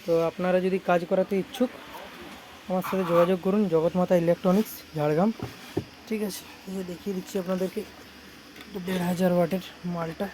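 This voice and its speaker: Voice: mid-range voice, steady tone, quick pace, darkish tone, rough recording. A speaker informally showing repair work as a technician, often repeating themselves and adding little check-in tags. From India. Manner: repetitive, frequent check-in tags. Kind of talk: informal product showcase vlog. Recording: clear background noise.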